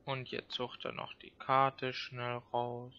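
Bright-voiced man doing gaming commentary. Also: mid-range voice, completely flat delivery, slow pace, clean tone, lo-fi sound. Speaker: let's play host.

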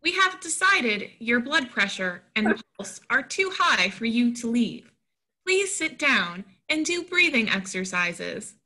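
Woman as a bright, commanding smart door. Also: fairly high pitch, sing-song, heavily acted. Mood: firm. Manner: commanding, measured. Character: firm, controlling smart-home door that blocks its owner. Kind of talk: play reading. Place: indoors at home, on a video call.

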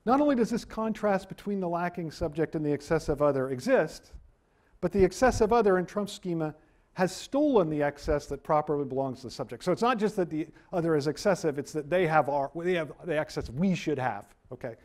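A middle-aged man giving a university lecture, animated and self-deprecating, losing his voice, recorded by a camera at a distance in a wood-paneled room. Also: a little high, fairly quick.

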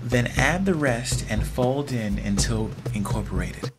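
A man, host of a home cooking show, reading scripted step-by-step recipe narration in a steady instructional way. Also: mid-range voice, a little slow. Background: loud music.

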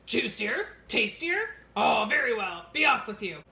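A woman's voice: a little high, big pitch swings, measured pace, gravelly, bright, forceful tone, reverberant, heavily acted. A woman voicing a mean old troll, blustering and menacing in a storybook reading. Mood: greedy. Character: mean old troll under a bridge, greedy and gruff.

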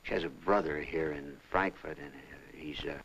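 Very deep, gravelly, guarded American man. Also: normal speed, fairly bright tone, exaggerated voice, rough recording. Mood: uneasy. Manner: hesitant, defensive. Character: guarded, troubled male consulate employee under questioning. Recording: old film soundtrack with hiss.

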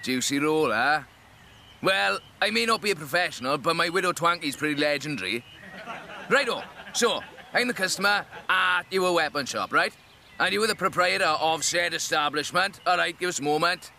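A man's voice: fairly high pitch, expressive, moderate pace, gravelly, bright, forceful tone, a little hiss or hum, full character voice. A British man voicing a small round creature, an indignant, exasperated straight man in a video game comedy sketch. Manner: indignant, theatrical. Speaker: stand-up comedy double act straight man.

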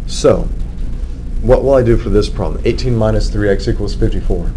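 A man's voice: on the low side, normal speed, background noise. A man teaching a math lesson in a casual, conversational way, often quizzing his students and repeating words as he explains.

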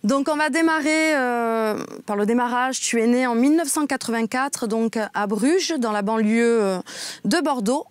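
A woman's voice: a little high, normal speed, smooth, no room sound. A woman in her thirties, a studio interviewer asking questions from her notes in a friendly way. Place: dark television studio.

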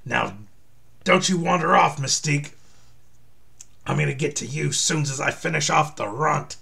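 A man's voice: on the high side, moderate pace, creaky, pushed and bright, breathy edge, pitched above the performer's own voice, full character voice. Man acting out cocky, menacing comic-book brute. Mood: taunting.

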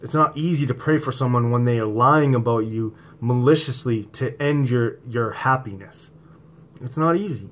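A man's voice: mid-range voice, moderate pace. New England man preaching to a webcam. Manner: impassioned, rising to near-shouts on emphasis, then quieter and conversational. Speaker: religious video host. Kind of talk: informal preaching to camera. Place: home room indoors at night. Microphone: webcam or laptop camera from below.

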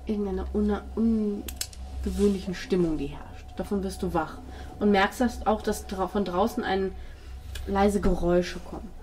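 A woman narrating a tabletop roleplay as game master, with suspenseful, drawn-out storytelling and pauses. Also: mid-range voice, steady tone, darkish tone. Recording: noisy tabletop session recording.

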